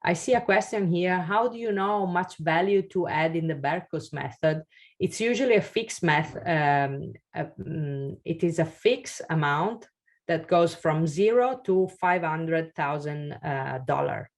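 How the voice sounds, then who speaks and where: Low-voiced, slow, hesitant woman. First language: Italian. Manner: hesitant, many fillers and restarts. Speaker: investor workshop speaker. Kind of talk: webinar presentation and Q&A.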